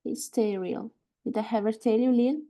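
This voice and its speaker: A woman playing a bitter, grieving wife and mother in a screenplay table read, telling her story in a halting, wry way. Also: on the high side, steady tone, dark tone, natural voice. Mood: numb, bitter.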